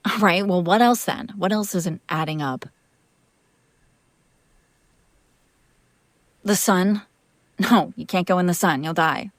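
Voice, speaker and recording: A woman's voice: on the low side, normal speed, no echo, close to an everyday voice. A woman playing a female vampire master, an exasperated but protective caretaker, in a conversational, sardonic audio roleplay. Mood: exasperated, defensive. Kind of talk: intimate audio roleplay acting, one side of a conversation.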